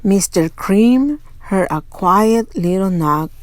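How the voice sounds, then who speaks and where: A woman reading a children's story aloud in an expressive storytelling style. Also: mid-range voice, slow pace, a little hiss or hum. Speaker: storybook reader. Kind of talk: children's read-aloud narration.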